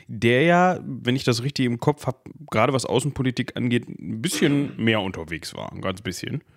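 A man's voice: mid-range voice, no room sound, clean studio sound. A man co-hosting a casual history discussion, talking colloquially with wry understatement. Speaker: history podcast co-host.

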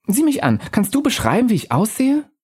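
A man reading a story, voicing an elusive female ghost in a bar with a raised, soft, earnest voice. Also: sits high, wildly swooping pitch, brisk, husky, pitched above the performer's own voice, heavily acted. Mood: urgent.

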